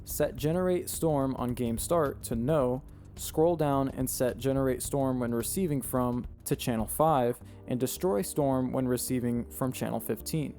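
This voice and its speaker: Slightly hoarse man reading game settings. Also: medium pitch, unhurried, soft background music. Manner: steady instructional, reading settings one by one. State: slightly hoarse, losing his voice. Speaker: game tutorial creator. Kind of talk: step-by-step tutorial voice-over.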